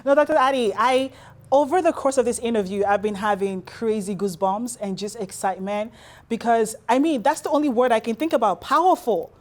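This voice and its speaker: A woman's voice: a little high, a little fast, darkish tone. A woman hosting an interview in a conversational, effusive and admiring way. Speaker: interview host.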